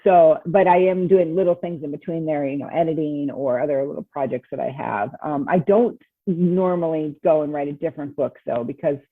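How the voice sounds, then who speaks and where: Tinny phone-like audio, woman, low husky voice, casual author interview, dry sound. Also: no room sound. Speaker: guest, novelist. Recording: tinny, narrow phone-like line.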